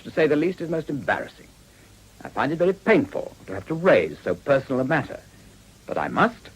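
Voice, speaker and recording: Husky, formal British elder statesman. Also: on the high side, heavily acted, poor audio. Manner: formal, measured, apologetic. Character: senior British male statesman, grave, regretful, circumlocutory.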